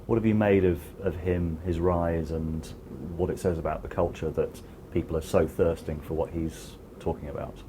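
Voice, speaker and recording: A man asking questions as an interviewer in a conversational, tentative way, pausing mid-question. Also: sits low, fairly even intonation, background noise.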